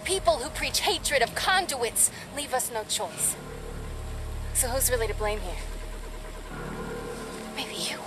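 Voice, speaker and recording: A defiant young punk vigilante in a game drama. Her voice is a little high, sardonic and brash, with prominent music behind it. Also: very animated, slow pace, long pauses. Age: young adult. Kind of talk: video game drama acting. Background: cinematic music.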